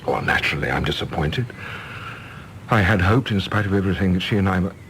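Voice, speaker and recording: A man's voice: low voice, animated, fast talker, fairly bright tone, slight background noise, rough recording. An actor playing an earnest, wistful middle-aged English man with idealistic hopes, in a radio play. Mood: wistful, reflective.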